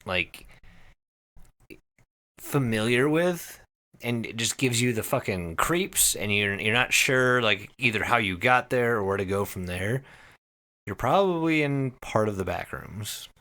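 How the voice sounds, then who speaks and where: A man's voice: on the low side, lively intonation, unhurried, sharp tone. A man co-hosting a podcast, telling stories casually in an emphatic way with plenty of swearing. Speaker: podcast co-host.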